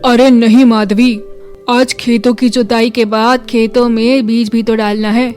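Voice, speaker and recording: A woman voicing a good-natured, hardworking village farmer husband in a children's story, with loud, emphatic delivery. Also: on the high side, little pitch movement, measured pace, soft background music, close to an everyday voice. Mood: patient, explaining. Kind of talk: children's moral story dialogue.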